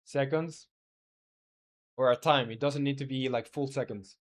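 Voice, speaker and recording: Halting man thinking aloud on stream. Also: mid-range voice. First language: Spanish. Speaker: game developer streaming live. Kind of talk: casual live-stream chat and coding talk.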